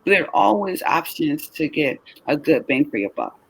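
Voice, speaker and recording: A woman who is tired and short on sleep, raspy-voiced, chatting casually and lively as a travel business host. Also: low-pitched, moderate pace, a little hiss or hum, poor audio. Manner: lively, talks with her hands in her voice, leaning on questions to the listener. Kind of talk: casual chat.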